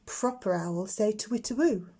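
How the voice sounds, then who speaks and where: A woman's voice: mid-range voice, unhurried, dark tone, husky. A woman voicing a confident little schoolgirl in a storybook, chatty and proud, with a matter-of-fact know-it-all manner. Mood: bossy.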